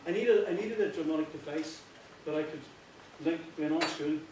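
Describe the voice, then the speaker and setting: A man with a Northern Irish accent giving an informal, earnest speech, often halting and repeating himself. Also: on the high side, a little mellow. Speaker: playwright giving a thank-you speech. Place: echoey indoor hall before an audience. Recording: very echoey, distant, noisy with clicks.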